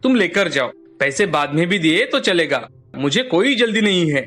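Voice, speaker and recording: A man's voice: high voice, big pitch swings, moderate pace, fairly bright tone, faint music behind, full character voice. A man voicing a generous, easygoing wood seller in a storybook cartoon. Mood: friendly. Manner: friendly, obliging. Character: generous, easygoing male friend who sells wood.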